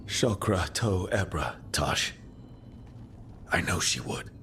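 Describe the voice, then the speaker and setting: Low-voiced, calm hero mage. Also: male voice, takes it slowly, slight background noise, full character voice. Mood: encouraging. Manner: calm, reassuring, plain-spoken. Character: steady, supportive young male mage leader of heroes. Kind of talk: video game character acting.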